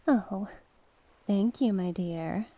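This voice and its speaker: A woman as a slow, coaxing sea witch. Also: medium pitch, warm tone, no echo. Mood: coaxing, sly. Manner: coaxing, genteel, terms of endearment. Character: mysterious sea witch, coaxing, sly, courteous monstrous cave dweller. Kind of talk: ASMR roleplay.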